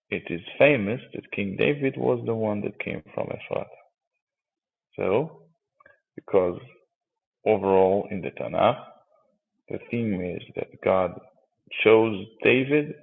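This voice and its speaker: A man's voice: fairly low pitch, creaky, slightly rough. A man, a native Hebrew speaker, debating insistently and cutting in to correct his opponent. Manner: insistent, interrupts with repeated "wait". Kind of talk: heated debate. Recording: tinny phone-line audio.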